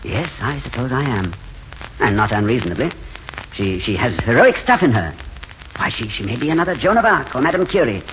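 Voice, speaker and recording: An actor playing a courteous, wistful, idealistic drifter in a 1940s radio drama, speaking in an eloquent, genteel way. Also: male voice, medium pitch, sing-song, brisk, natural voice. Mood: wistful, intense. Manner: eloquent, genteel, hesitant openings. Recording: dull, faintly noisy 1940s radio recording.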